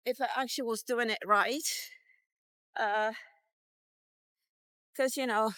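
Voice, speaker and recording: Woman, fifties, somewhat high voice, rambling and candid, close dry sound. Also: fairly bright tone, no echo. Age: 50s. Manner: rambling, candid, with many fillers. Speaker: vlogger. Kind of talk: casual walk-and-talk vlog. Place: outdoors, walking.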